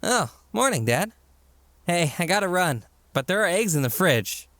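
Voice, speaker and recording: A man plays a teenage boy in a rush, full of excitable energy. His pitch jumps around a lot in this cartoon-style comic dub, with a dry, close sound. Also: normal speed, no echo, exaggerated voice. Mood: hurried, upbeat. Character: hurried, excitable teenage son leaving for school. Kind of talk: amateur comic dub acting.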